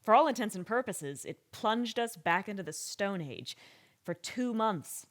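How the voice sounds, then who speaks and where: A woman playing a wry, candid government scientist giving conversational interview testimony with deliberate pauses in an audio drama. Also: medium pitch, lively intonation, natural voice. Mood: earnest, frank.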